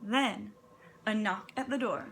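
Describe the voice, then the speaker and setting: Slow-paced woman reading to young children. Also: fairly high pitch, a little hiss or hum. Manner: expressive, dramatic pauses, encouraging. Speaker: kindergarten teacher. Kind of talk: children's read-aloud with prompting questions.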